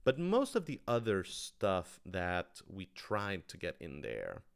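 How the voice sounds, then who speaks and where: A man's voice: sits low, huge pitch swings, takes it slowly, creaky, clear, resonant tone. A man talking casually on a livestream Q&A, thinking aloud in a rambling, enthusiastic way. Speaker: writer-director of audio dramas answering questions.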